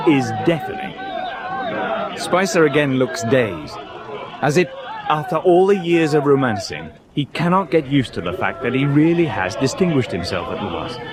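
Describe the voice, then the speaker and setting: A man's voice: mid-range voice, wildly swooping pitch, moderate pace, over a noisy crowd. A man acting an observant, deferential British naval doctor, narrating and speaking in a radio drama. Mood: wry narration.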